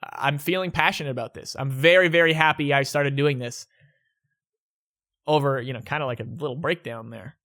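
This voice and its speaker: Bright, rambling young man vlogging. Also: mid-range voice, normal speed. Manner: rambling, self-correcting, laid-back. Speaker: YouTuber answering viewer questions. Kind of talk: casual vlog Q&A. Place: indoors at home.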